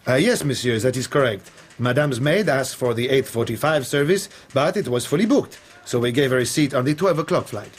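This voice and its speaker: An actor playing a nervous, evasive French airline booking clerk in a radio drama, hesitant and deferential. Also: male voice, medium pitch, brisk, breathy edge, natural voice.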